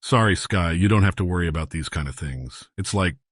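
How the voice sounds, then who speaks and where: A woman voicing a weary, heavy-set construction boss in his fifties with a low, raspy voice, in an audio story. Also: expressive, fairly quick, no room sound, studio quality. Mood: weary, evasive.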